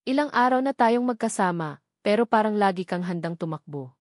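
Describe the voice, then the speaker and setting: A composed male captain in a romance audio drama, acted out by a woman. He speaks with calm authority and earnest concern. The recording is dry. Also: medium pitch, brisk, no room sound, natural voice. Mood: gentle, probing.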